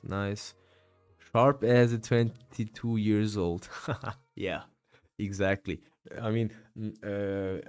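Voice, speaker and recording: A man's voice: fairly low pitch, darkish tone. A man in his late twenties casually talking through his trades on a live stream and answering chat, with many fillers, tired after a long session. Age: 20s. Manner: casual, many fillers, calls viewers bro. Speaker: day trading streamer.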